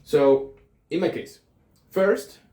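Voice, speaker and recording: A man's voice: fairly high pitch, slow, warm tone. A young man with a Colombian accent talking casually to camera in a reflective vlog, thinking aloud with frequent ums and self-corrections. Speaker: vlogger. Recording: echoey room.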